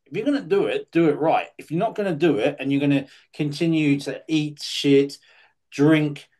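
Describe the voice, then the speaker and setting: A man, hosting a casual podcast chat and talking bluntly, with sudden lively jumps in pitch. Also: moderate pace. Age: late 40s. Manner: blunt and swearing, animated rises in pitch. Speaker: podcast host.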